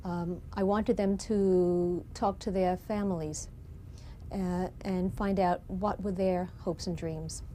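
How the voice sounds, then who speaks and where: A woman teacher talks reflectively about a class project in a warm, lowish voice, pausing with the odd filler. A faint hiss of room tone sits under her. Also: steady tone. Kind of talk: interview.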